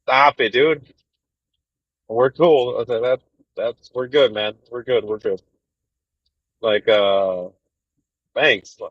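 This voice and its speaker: A man in his thirties chatting casually with viewers on a livestream, rambling and relaxed with lots of fillers. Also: medium pitch, slow, pauses often, breathy edge, no echo, muffled recording. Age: 30s. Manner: laid-back, rambling, full of fillers and "dude". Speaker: truck driver livestreamer. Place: inside a truck cab.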